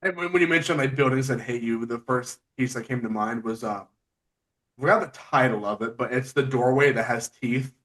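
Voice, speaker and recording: A man hosting an informal podcast interview, with a halting, conversational delivery. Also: mid-range voice, fairly bright tone, rough recording. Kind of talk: casual interview, reading out listener questions.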